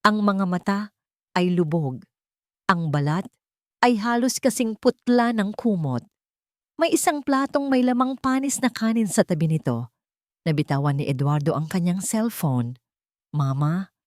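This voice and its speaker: A woman's voice: mid-range voice, animated, measured pace, no echo, clean studio sound. A woman narrating a scripted audio story in a dramatic storytelling style.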